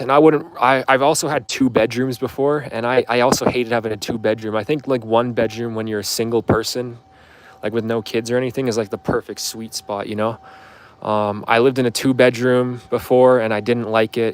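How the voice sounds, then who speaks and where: A man in his twenties chatting casually on a livestream, answering viewer questions in a rambling, offhand way full of fillers. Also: mid-range voice. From Canada. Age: 20s. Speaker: livestream host.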